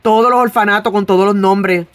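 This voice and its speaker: A woman from Rico, preaching earnestly and sharing her own testimony, drawing out key words and pausing on fillers. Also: medium pitch, steady tone, no echo. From Puerto Rico. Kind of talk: sermon with personal testimony.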